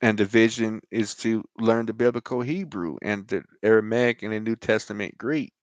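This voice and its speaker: A man's voice: lowish voice, normal speed, no echo, lo-fi sound. A man hosting his own online show, talking informally and emphatically about scripture and his own grievances, with many "right?" and "you know" asides. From United States. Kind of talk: informal religious talk to camera.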